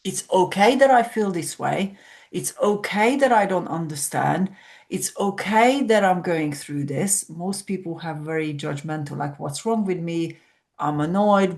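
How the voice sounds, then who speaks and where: Woman coaching calmly. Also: sits low, vocal fry. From Hungary. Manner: explanatory, coaching, reflective. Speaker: sleep coach, guest. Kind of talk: podcast conversation.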